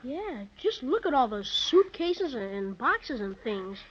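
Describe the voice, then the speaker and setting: An actor playing an eager, bold, excitable young boy in a 1930s children's radio serial, full of old-fashioned exclamations. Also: high-pitched, measured pace, slightly rough, full character voice. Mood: eager, busy. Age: child character. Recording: muffled 1930s radio transcription.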